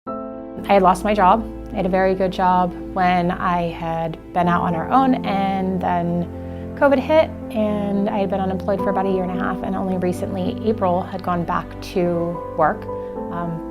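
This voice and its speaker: A woman's voice: on the low side, fairly even intonation, moderate pace. A woman, a mother of two, giving a personal testimony as a client of a service. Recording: music bed under the voice.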